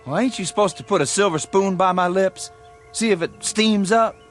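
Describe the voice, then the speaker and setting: A man's voice: high voice, moderate pace, soft background music, close to an everyday voice. An actor playing a plain-spoken, indignant young country man in a radio drama, with a folksy rural American accent. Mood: incredulous. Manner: folksy, incredulous.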